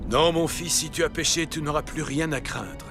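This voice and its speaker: A devout old patriarch, played by a male actor speaks in a fairly high voice with solemn reverence. He sounds fatherly, in the manner of a Bible cartoon, with clear music underneath. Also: normal speed, natural voice. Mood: fatherly reassurance. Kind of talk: dubbed children's Bible cartoon.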